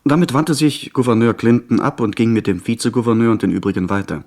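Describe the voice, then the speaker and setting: A man's voice: mid-range voice, fast talker, a little mellow, natural voice. An actor playing a merchant's son who narrates his adventure in scripted radio drama storytelling. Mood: narrating. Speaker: first-person narrator.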